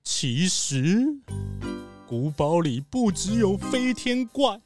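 Woman doing a slow, stammering, timid gibbon gardener. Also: on the low side, very animated, a little mellow, soft background music. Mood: fearful, secretive. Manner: hesitant, stammering, secretive. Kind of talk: children's audio drama acting.

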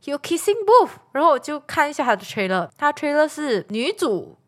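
A woman's voice: sits high, normal speed. A woman chatting casually about films as a podcast co-host, telling it emphatically with plenty of tag questions. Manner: animated, emphatic, conversational storytelling with tag questions. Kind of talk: casual film chat among friends.

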